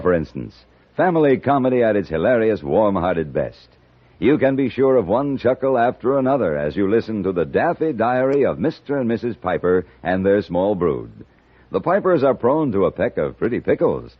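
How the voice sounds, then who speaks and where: A man's voice: on the low side, very animated, moderate pace, husky. A man with an American accent announcing scripted promotions in polished, folksy 1950s radio style. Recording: muffled old radio broadcast recording.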